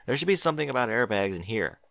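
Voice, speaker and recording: A man's voice: mid-range voice, big pitch swings, quick pace, creaky, a bit bright, dry recording, clean studio sound. A man voicing a sensible young boy dragon who works in a library, matter-of-fact.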